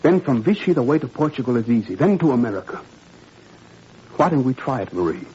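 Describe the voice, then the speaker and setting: A man's voice: medium pitch, big pitch swings, a little fast, warm tone, breathy edge. A man playing an idealistic, troubled father in a 1940s radio drama, earnest and probing with wry irony. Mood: urgent, confiding. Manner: probing, earnest, wryly ironic. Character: idealistic French father, troubled, principled, quietly ironic. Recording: muffled, noisy old radio recording.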